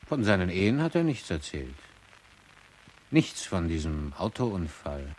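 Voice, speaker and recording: A man's voice: low voice, big pitch swings, unhurried, a little mellow, exaggerated voice. A man playing a sober witness giving an account in a radio drama. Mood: sober, puzzled.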